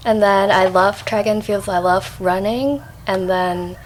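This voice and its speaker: A young woman from Hong Kong, a podcast guest, speaking softly in simple phrasing in a casual interview. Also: mid-range voice, fairly even intonation, a little slow, slight background noise, poor audio.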